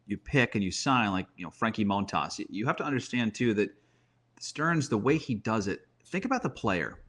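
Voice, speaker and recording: A man's voice: mid-range voice, a bit bright. A man giving conversational sports analysis as a guest broadcaster, with emphatic repetition and rhetorical questions.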